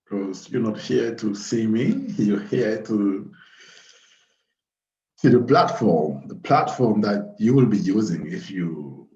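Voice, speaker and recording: Webinar host, male, 30s, from Cameroon. Lowish voice, halting informal delivery, dull computer-mic sound. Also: darkish tone. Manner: halting, repeats phrases. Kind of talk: informal live webinar talk. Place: small plain room indoors. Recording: roomy, dull webcam audio.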